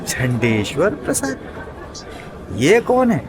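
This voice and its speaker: A man's voice: a little high, wildly swooping pitch, slow pace, crowd noise, heavily acted. A man playing a tough, irritated young brawler hero in a comic audio drama. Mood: demanding.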